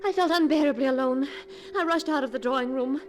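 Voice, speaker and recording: A woman's voice: sits high, quick pace, natural voice. A woman acting an anxious, devoted wife in an eerie 1940s radio melodrama, theatrical and earnest. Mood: haunted. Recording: vintage 1940s radio recording with music.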